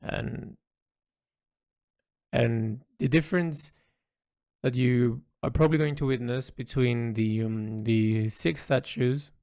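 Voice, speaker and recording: A man giving a reflective spoken log as an artist, thinking aloud in halting, thoughtful phrases. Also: medium pitch, fairly even intonation, gravelly, a little mellow, dry recording, studio quality. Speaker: artist narrating a design log.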